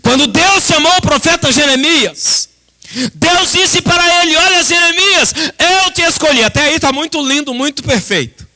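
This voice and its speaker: A man's voice: very high-pitched, animated, normal speed, sharp tone. A Brazilian man, a pastor, preaching an impassioned church sermon in an emphatic, dramatic manner with much repetition. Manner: emphatic, dramatic, with rhetorical repetition and direct address to the congregation. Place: church service.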